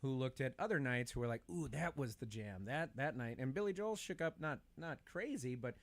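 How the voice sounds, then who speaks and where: A man's voice: medium pitch, lively intonation, brisk, no echo. Casual, emphatic man chatting. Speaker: podcast speaker. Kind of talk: casual chat about concerts as a fan.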